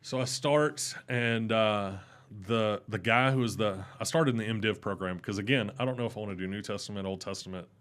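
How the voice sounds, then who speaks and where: A young adult man with a loud, carrying voice, telling stories casually and animatedly as a podcast guest. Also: brisk. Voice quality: loud voice that carries. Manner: animated, rising in pitch when excited. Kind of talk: casual storytelling interview.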